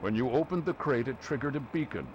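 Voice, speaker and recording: An actor playing a stern, composed military officer who gives mission briefings in a measured, formal, authoritative way. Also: male voice, mid-range voice, animated, highs cut off, background noise, close to an everyday voice. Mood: resolute.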